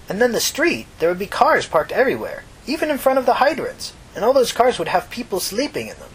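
A man's voice: high voice, husky, voice pushed up, full character voice, low-quality recording. A man voicing a tired, solemn, talkative old woman with a folksy, earnest manner in a fiction reading.

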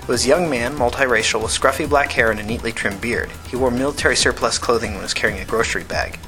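A man narrates a scripted novel for a podcast. His voice is mid-pitched, and his delivery is measured and matter-of-fact in audiobook style. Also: pushed and bright, soft background music.

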